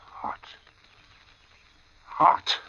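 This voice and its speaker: Slow, halting tombstone carver. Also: male voice, bright, forceful tone, in a whisper. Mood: weary, pleased. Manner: slow, halting, bewildered mumbling. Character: amiable, flustered working tombstone carver, male. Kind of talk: 1940s radio suspense acting. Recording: dull vintage radio recording with music.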